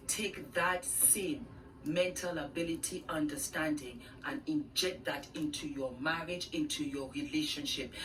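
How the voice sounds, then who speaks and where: A woman giving earnest, conversational relationship advice, played in the background of another video. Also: sits low. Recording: faint distant voice played in background with noise.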